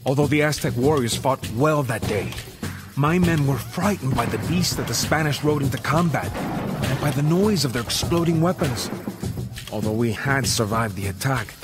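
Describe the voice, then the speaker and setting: A grave, proud Aztec warrior voiced by a man tells his chronicle as a game narrator. His voice sits at a medium male pitch, solemn and measured in dramatic storytelling. Music plays clearly behind him. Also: close to an everyday voice.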